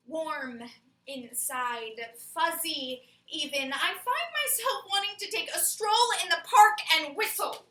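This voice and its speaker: A woman's voice: high voice, pushed and bright, pitched above the performer's own voice, heavily acted. A woman performing a comic stage monologue as a proudly mean woman, melodramatically pleading against being made nice and married. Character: self-declared mean woman frantically resisting a marriage proposal. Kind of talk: theatrical comedy monologue. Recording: echoey room, far from mic.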